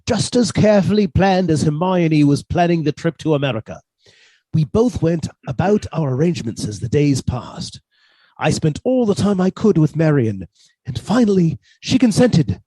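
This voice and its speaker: A man playing a meek, middle-aged British botanist husband, submissive and quietly sly, in a radio suspense drama. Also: a little high, animated, normal speed, breathy edge, no echo, rough recording. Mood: calculating, confiding.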